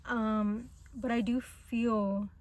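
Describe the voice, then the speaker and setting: A woman with a fairly high voice talks through a casual tarot reading. English is not her first language. She sounds gentle and reassuring, hesitating often and filling pauses with little fillers. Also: steady tone. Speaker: tarot reader.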